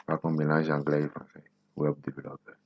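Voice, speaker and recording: A man in his twenties from Benin, whose first language is Nago, hosting a coding tutorial and thinking aloud slowly as he types, repeating words to himself. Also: rumbling low, monotone, creaky, muffled recording, a little hiss or hum. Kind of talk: casual screen-recorded coding tutorial.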